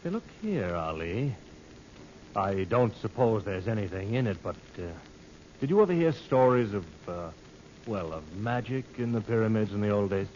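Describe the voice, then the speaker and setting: An actor playing a breezy, skeptical tourist, offhand and prying, in an old-time radio adventure serial. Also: male voice, lowish voice, animated, normal speed, a little mellow, close to an everyday voice. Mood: casual prying. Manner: offhand, teasing, probing. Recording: muffled old radio recording, noisy.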